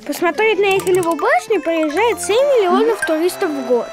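A woman voicing a helpful child pupil in an educational cartoon, explaining things clearly. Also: extremely high pitch, lively intonation, moderate pace, over music. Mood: informative.

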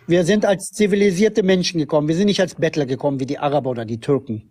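A man's voice: fairly high pitch, fast talker, dark tone. A man born in Germany, arguing scornfully as a guest in a live-stream debate and talking over the others.

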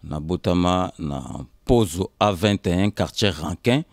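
A Congolese man in his fifties tells his story as an interview guest. His voice is on the low side and recorded dry, and he moves in halting, emphatic bursts, often repeating himself. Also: no echo. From Kinshasa, Congo. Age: 50s. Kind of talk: casual interview storytelling, switching between Lingala and French. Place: indoors on a sofa. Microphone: handheld microphone held by interviewer.

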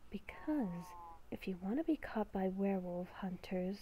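A woman voicing an inner werewolf beast in a breathy whisper, blunt, foreboding and impatient, for an amateur game roleplay. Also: medium pitch, expressive, normal speed, dark tone, a little hiss or hum, natural voice. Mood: impatient warning.

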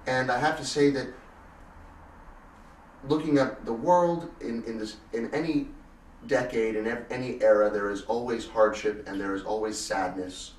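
A man in his twenties giving a thoughtful, measured and articulate interview answer, often rephrasing himself. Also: mid-range voice, steady tone, darkish tone. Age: 20s. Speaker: cello soloist, interviewee. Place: furnished indoor room, seated on sofa. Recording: roomy echo, distant mic, faint noise.

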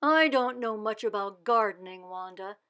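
A woman's voice: medium pitch, moderate pace, sharp tone, close to an everyday voice. A woman reading a frowning, doubtful neighbor man. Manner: doubtful, plain-spoken. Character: frowning skeptical working man.

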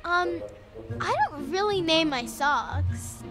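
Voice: very high voice, lively intonation, slow, over music, full character voice. A performer playing a curious, innocent, literal-minded little boy in a family audio drama, asking eager, naive questions. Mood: puzzled.

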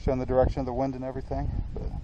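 A man in his thirties with an American accent, chatting casually and off the cuff to an action camera at arm's length, in a laid-back, plain-spoken way with pauses mid-phrase. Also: medium pitch, completely flat delivery. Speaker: outdoor vlogger. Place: outdoors on an open hilltop trail. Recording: noisy outdoor action-camera audio.

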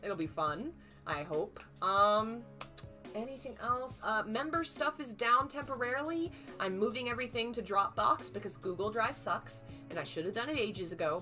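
A woman in her thirties reads a novel aloud from a small room at home, her voice a little hoarse. She voices the dialogue with feeling and lifts her pitch for the frightened lines. Faint music plays quietly behind her. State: slightly hoarse. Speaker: streamer reading a novel aloud. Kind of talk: live book reading, then casual sign-off.

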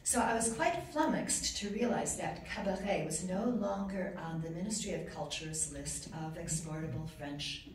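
A woman's voice: fairly low pitch, normal speed, few pauses. A woman giving an award acceptance speech at a podium in an ornate reception room, recorded from far off. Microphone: podium mic, recorded from far off. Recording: echoey room, far from camera, noisy.

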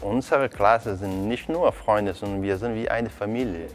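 A man's voice: lowish voice, normal speed, over music. A young man from Afghanistan, a nursing trainee speaking earnest, simple into a lapel mic. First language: not German. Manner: earnest, simple non-native phrasing.

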